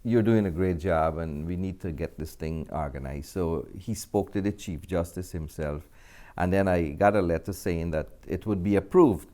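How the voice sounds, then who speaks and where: A man's voice: sits low, moderate pace, warm tone. A middle-aged man with a Guyanese accent, answering conversationally as an actor and film director being interviewed.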